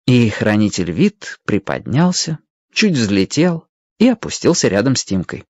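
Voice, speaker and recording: Guy narrating a kids adventure tale, expressive, swoopy intonation, no room sound. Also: mid-range voice, measured pace, dry recording, lo-fi sound. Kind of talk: expressive scripted children's story narration.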